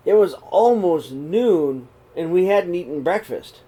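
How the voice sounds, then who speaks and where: A middle-aged man reading a children's picture book aloud in a small room, slow and expressive. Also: sits high, poor audio. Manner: reads slowly and expressively, voices the characters' calls. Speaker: storybook reader. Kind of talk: read-aloud storytelling for children.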